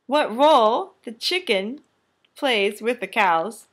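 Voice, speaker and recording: A woman reads a children's story in a teacherly, expressive way. She takes her time, and her melody rises and falls widely. Faint noise sits on the recording. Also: fairly high pitch, slow. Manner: expressive, instructive, careful phrasing. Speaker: teacher demonstrating a read-aloud. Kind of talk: children's picture-book read-aloud with teaching questions.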